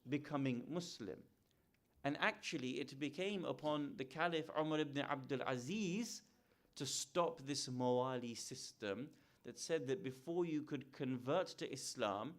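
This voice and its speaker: Man giving an emphatic sermon. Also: a little high. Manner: measured, emphatic, rhetorical questions to the audience. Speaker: speaker at a religious gathering. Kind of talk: sermon lecture.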